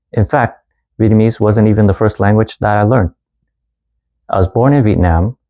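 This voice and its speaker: A man in his thirties who grew up in Canada, narrating a personal story as a language teacher in a calm, earnest way and mixing two languages. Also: sits low. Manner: calm, earnest storytelling, switching between Vietnamese and English. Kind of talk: scripted lesson narration.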